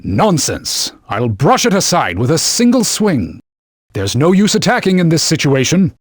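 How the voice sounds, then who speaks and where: A man's voice: on the high side, sing-song, unhurried, dry recording. A man voicing a talking holy sword, a stern old knightly mentor who speaks formally and barks urgent warnings in a game. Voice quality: husky, formal, commanding. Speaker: video game character. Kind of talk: game voice clips. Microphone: studio mic. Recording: dry studio recording.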